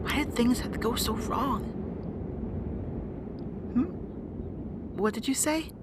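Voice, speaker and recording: A woman's voice: on the high side, takes it slowly, very noisy. A woman playing a young rat princess warrior in an audio drama, earnest and defiant. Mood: dejected, guilty.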